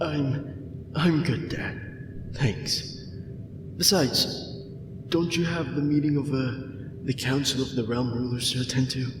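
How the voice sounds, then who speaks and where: Man playing a slow-talking dorky dark prince, echoey. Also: mid-range voice, steady tone, close to an everyday voice. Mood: exasperated, awkward. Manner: grandiose monologue giving way to awkward, hesitant talk. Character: dorky young dark prince, pompous when rehearsing, apologetic and kind. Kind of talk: scripted ASMR roleplay acting. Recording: echoey hall, distant, noisy ambience.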